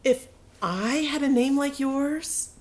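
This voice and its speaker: A woman's voice: high-pitched, slow pace, slight background noise, voice pushed up. Woman as smug know-it-all little schoolgirl. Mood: taunting.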